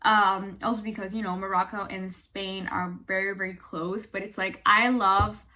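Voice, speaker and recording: A young woman talks straight to her viewers in a bright, clear voice. She is chatty and animated and fills her sentences with "like" and "you know". Her pitch sits in the middle range for a woman. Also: moderate pace. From Italy. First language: Italian. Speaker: vlogger. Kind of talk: casual talk to camera.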